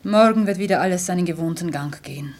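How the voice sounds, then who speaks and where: An actress in a German radio play playing a fearful, watchful neighbour woman, husky and often close to a whisper. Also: fairly low pitch, fast, a little mellow, natural voice. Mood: guarded.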